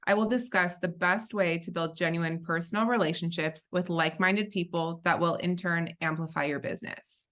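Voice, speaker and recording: A woman's voice: fairly low pitch, fairly even intonation, moderate pace, few pauses, a bit bright. An American woman in her thirties, from Southern California, answering conversationally as a guest in a podcast interview. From Southern California, born in Israel. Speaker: podcast guest, business founder.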